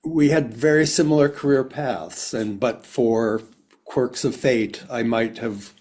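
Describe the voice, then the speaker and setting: A man's voice: mid-range voice, steady tone, measured pace, darkish tone. A man moderating a conversation as host, talking in a casual, conversational style.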